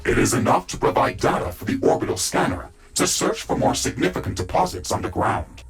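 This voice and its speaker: Game role: male mech-suit computer, composed and formal, fry-laden synthetic voice with swinging pitch, clipped procedural announcements. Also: very animated, brisk, faint music behind. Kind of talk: video game voice acting, status reports.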